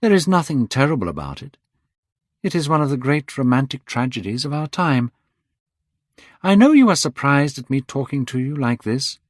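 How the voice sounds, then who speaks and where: A man's voice: mid-range voice, no room sound, studio quality, voice pushed up. A man reading aloud, voicing a vain, careless young man who sounds bored and dismissive. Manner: bored, dismissive, rising to sharp cries.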